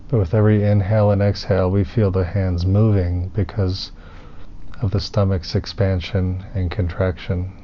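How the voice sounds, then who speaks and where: Low-voiced man guiding a meditation. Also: level tone. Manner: soothing, unhurried, with pauses between phrases. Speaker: meditation teacher. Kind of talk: guided meditation instruction. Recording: muffled, with clear background noise.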